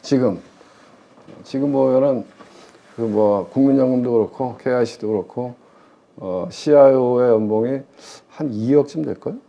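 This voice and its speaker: A man's voice: medium pitch, normal speed, gravelly. A middle-aged man talking as an expert guest in a conversational interview, emphatic and often answering his own questions, with slightly muffled sound. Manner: emphatic, posing questions and answering them himself. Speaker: guest expert, former pension fund chief investment officer. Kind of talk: conversational interview commentary on public finance.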